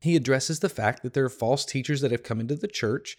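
A slightly hoarse, tired man hosting a devotional podcast, teaching a Bible passage in an earnest, encouraging way with emphatic repetition. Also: mid-range voice, a little fast. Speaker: podcast host.

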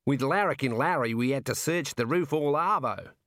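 A man's voice: medium pitch, normal speed, fairly bright tone, no room sound, clean studio sound, pitched above the performer's own voice, natural voice. A man voicing a cheerful, chatty prison warder with an Australian accent and a breezy, matey manner, in a storybook reading. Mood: jovial. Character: cheerful chatty male prison warder, jovially morbid, folksy.

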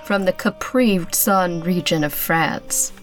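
A woman's voice: fairly low pitch, takes it slowly, soft background music. A woman playing a wealthy, flirtatious femme fatale artist with a sinister edge and a seductive manner in a noir radio play. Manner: seductive, teasing.

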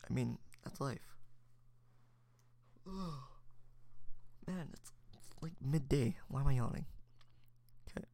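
A man's voice: medium pitch, slow, vocal fry, long pauses, dark tone. A young man hosting his own podcast alone, chatting casually and reflectively, rambling with many fillers and restarts. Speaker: podcast host. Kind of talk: solo casual chat.

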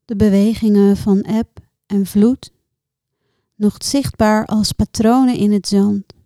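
A woman reciting her own poems in a measured, contemplative way, with deliberate pauses between short phrases. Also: mid-range voice, steady tone, warm tone, dry recording, studio quality. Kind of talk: poetry recitation.

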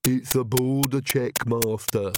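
A man voicing a blunt, put-upon male servant with curt, flat replies. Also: mid-range voice, slow, very noisy, close to an everyday voice.

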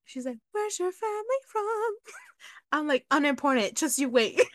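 A woman whose first language is Spanish, chatting casually as a podcast co-host, animated and laughing often. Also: very high voice, measured pace, dry recording, studio quality. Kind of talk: casual chat.

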